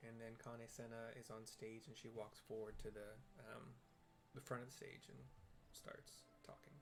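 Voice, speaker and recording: Halting young man describing game scenes. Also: medium pitch, level tone, gravelly, soft background music. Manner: hesitant, many fillers and restarts while describing scenes. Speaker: gamer and audio describer. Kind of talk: casual let's-play with audio description.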